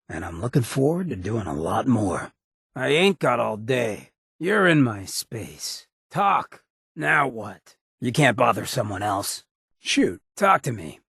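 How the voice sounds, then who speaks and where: An actor playing a swaggering, sardonic ghoul gangster companion in a video game, with a gravelly rasp and a wry, streetwise drawl. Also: male voice, medium pitch, no echo, studio quality, full character voice. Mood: pleased, warm, then impatient. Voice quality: gravelly, raspy.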